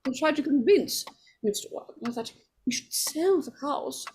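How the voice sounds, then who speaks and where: A woman's voice: high voice, animated, moderate pace, darkish tone, a little hiss or hum. A woman playing a nervous, pleading, scheming woman in 1940s radio horror style, stammering and repeating words.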